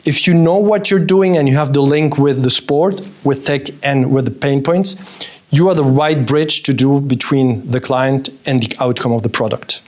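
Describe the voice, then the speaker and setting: Podcast guest, native German speaker in English, forties, brisk storyteller with lots of restarts. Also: male voice, mid-range voice. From Colombia and Germany. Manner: fast, animated storytelling with restarts. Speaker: interview guest, fashion executive. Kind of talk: casual interview chat.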